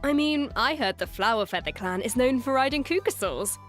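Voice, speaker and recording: A woman's voice: on the high side, lively intonation, brisk, a bit bright. A woman co-hosting a game preview program, chatting in a casual, agreeable way in scripted banter. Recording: music playing behind the voice. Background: music.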